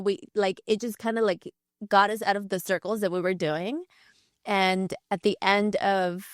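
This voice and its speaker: A woman's voice: mid-range voice, no room sound, clean studio sound. A woman in her thirties, a podcast guest, talking candidly and reflectively, full of "like" fillers. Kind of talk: conversational interview.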